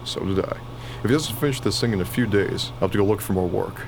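Man acting a low-voiced grumbling husband, noisy recording. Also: fast talker, creaky. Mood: gloomy. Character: grumbling, baffled working-class husband, short of money. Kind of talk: radio play acting. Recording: noisy recording, vehicle-like ambience.

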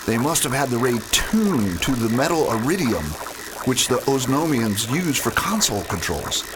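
Man playing an animated starship scientist amid loud noise. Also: mid-range voice, normal speed, lightly acted. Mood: analytical. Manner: technical, explanatory. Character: analytical, cautious male scientist aboard a starship. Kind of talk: space opera radio drama acting. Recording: very loud engine noise behind.

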